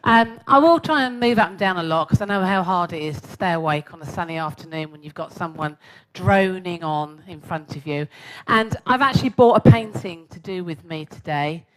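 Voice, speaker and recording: A woman in her sixties with a London accent talks to a live audience in a chatty, self-mocking way, and her voice sits a little low. She jokes at her own expense and throws questions to the room as she goes. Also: normal speed, poor audio. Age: 60s. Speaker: guest speaker.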